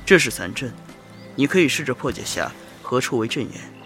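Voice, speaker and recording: A man's voice: medium pitch, brisk, music in the background. An actor playing a generous, wry older male master of magic formations in an audio drama who often mutters to himself. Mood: kindly. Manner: lively, musing, often muttering aside.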